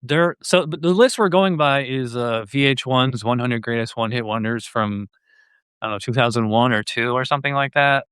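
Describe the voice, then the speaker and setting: A man's voice: medium pitch, moderate pace, a bit bright, clean tone, dry recording. A man hosting a podcast in a casual, conversational style. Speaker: podcast host.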